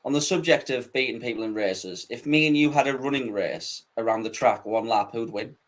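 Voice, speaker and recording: A male host of a live online talk show, with a mid-pitched voice. He moves the chat along with teasing banter and jokes at his guest. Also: fairly quick. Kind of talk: casual live interview.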